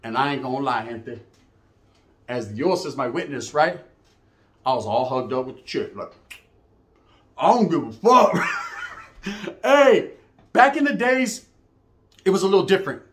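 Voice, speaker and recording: A man's voice: medium pitch, animated, unhurried, long pauses, a bit bright, a little hiss or hum. A middle-aged man with a Chicano English accent tells stories casually, acting out dialogue in profane slang mixed with Spanish phrases. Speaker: storyteller.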